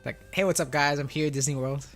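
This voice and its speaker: A man's voice: fairly high pitch, steady tone, fast talker, a bit bright, soft background music. A young man from Florida chatting casually with his live-stream viewers, rambling and laughing as he answers chat questions. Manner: laid-back and rambling, reads out chat questions, frequent laughs and self-corrections.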